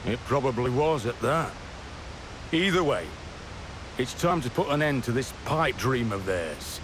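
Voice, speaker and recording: Man playing a slow-talking, seasoned warrior. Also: mid-range voice, lively intonation, noisy background, natural voice. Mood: wry, resolute. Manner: wry, unhurried, measured. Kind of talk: video game cutscene acting.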